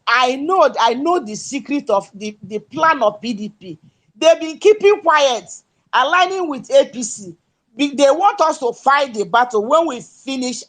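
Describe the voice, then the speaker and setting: Nigerian lady fired up in an online audio room, highish voice, pidgin bits, loud at times. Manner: emphatic, rises to shouts, pidgin-tinged. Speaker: speaker in a political audio space. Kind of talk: impassioned political speech.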